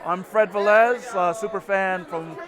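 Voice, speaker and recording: Man super fan, high and flat voice, crowd noise behind. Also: a little slow.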